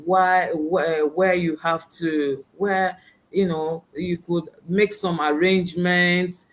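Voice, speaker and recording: A middle-aged woman from Nigeria answering interview questions over a video call, soft-spoken and hesitant with many "you know"s. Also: lowish voice, steady tone, takes it slowly. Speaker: guest, educator and business owner.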